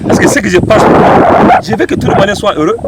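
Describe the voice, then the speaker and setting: Malian man, lively interview voice, slightly high, heavy outdoor wind on the track. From Mali. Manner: expressive, repeats words, halting restarts. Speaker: interviewee, local community figure. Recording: loud wind and outdoor noise.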